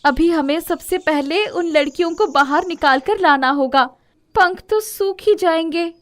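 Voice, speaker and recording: A woman voicing a polite, earnest young fairy in a children's story, with formal, dramatic and expressive speech. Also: very high-pitched, measured pace. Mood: urgent, confident.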